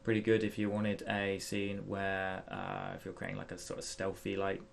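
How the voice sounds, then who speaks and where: A man with a fairly low, creaky voice hosts a software tutorial in a casual style. He thinks aloud as he demonstrates, feeling his way through each step with some hesitation. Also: monotone, moderate pace, a little hiss or hum. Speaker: tutorial host.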